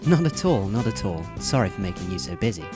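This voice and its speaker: A man voicing a polite, smooth-talking teenage boy in a fan audio drama. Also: lowish voice, very animated, fast talker, music in the background.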